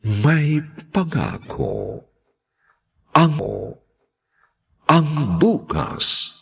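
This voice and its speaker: A man hosting a radio drama, reading in a scripted, theatrical way with drawn-out phrases and deliberate pauses. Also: on the high side, wildly swooping pitch, gravelly, a bit bright, slightly rough. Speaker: radio drama host and announcer.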